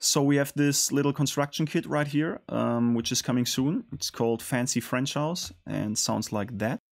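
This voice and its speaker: A man hosting a casual music production tutorial in a studio, talking in a hesitant, halting way. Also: mid-range voice, vocal fry. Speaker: tutorial host.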